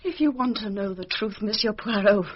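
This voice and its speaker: An actress playing a bitter, overwrought young British aristocrat's daughter in a radio murder mystery, with intense, theatrical delivery. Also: female voice, medium pitch, moderate pace, husky, highs cut off, lightly acted. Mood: bitter, defiant. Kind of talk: radio drama acting.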